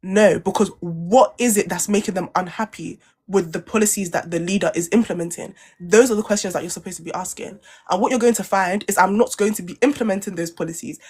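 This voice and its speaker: A woman's voice: medium pitch, brisk, hardly any pauses, a little mellow, husky. A young woman co-hosting a podcast, arguing insistently in a heated debate, repeating her points and talking over interruptions. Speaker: podcast co-host.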